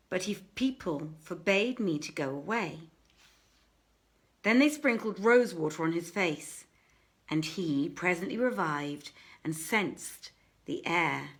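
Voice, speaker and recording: Slow, deliberate woman reading a story aloud. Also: medium pitch. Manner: deliberate, expressive, measured phrasing. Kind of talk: dramatic live literary reading.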